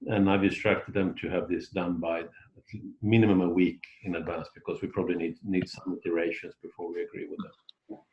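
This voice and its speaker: A man with a fairly low voice speaks in a conference call meeting. His delivery is hesitant and halting, with words often repeated as he explains. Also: flat delivery, lo-fi sound, a little hiss or hum. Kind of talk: meeting discussion.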